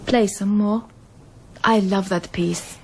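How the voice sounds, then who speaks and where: An earnest young woman in a radio drama. Her mid-range voice is gentle but direct, with an inquisitive, probing way of talking. Ambient noise is plainly audible behind her. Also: medium pitch, steady tone, slow, rough recording. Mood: enthusiastic. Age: young adult.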